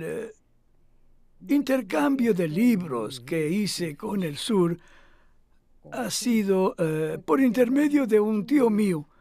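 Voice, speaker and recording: A male voice actor dubbing an elderly, modest philosophy professor who reminisces in a measured, hesitant way in an interview. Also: sits high, gravelly, a little mellow, full character voice. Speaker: dubbed interviewee. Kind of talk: voice-over dub of a documentary interview.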